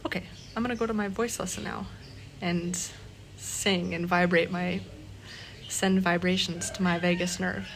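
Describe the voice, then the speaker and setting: A woman talking casually to her vlog audience in a reflective, halting way, often pausing between short phrases. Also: lowish voice, level tone. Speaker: vlogger. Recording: clearly noisy background ambience.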